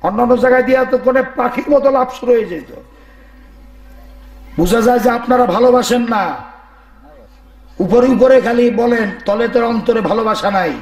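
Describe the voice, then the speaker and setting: A man with a hoarse, strained voice preaches an Islamic sermon in a declamatory, rhetorical way to a gathering. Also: extremely high pitch, moderate pace, long pauses. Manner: declamatory, rhetorical, calling on listeners to respond. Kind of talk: fiery sermon to a live congregation. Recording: echoey PA sound, faint crowd.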